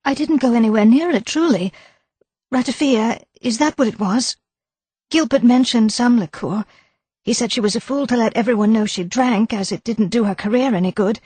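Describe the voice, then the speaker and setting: A high, breathy voice of a fretful middle-aged wife, as a woman reader puts her on. She sounds flustered and defensive, rising sharply in pitch, recorded close and dry. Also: moderate pace, darkish tone, no room sound.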